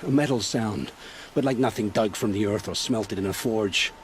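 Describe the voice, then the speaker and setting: A man's voice: fairly low pitch, close to an everyday voice. Man acting a grim, haunted scrounger captive, noisy ambience. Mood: haunted dread. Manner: halting, grim, folksy storytelling phrasing. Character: frightened, world-weary captive scrounger, man turned reluctant informant. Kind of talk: dramatic video game dialogue.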